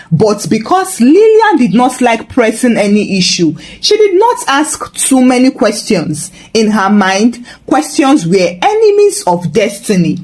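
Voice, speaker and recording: A woman with a Nigerian accent narrating a scripted folktale in an expressive, humorously dramatic way. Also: fairly high pitch. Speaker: storyteller, narrator.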